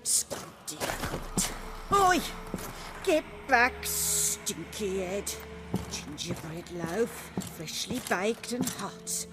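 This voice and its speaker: A woman's voice: medium pitch, big pitch swings, drawn-out words, background noise. A woman playing a deranged, servile, tormented mother in a video game, babbling, muttering and pleading. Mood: crazed muttering. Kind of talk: video game cutscene acting.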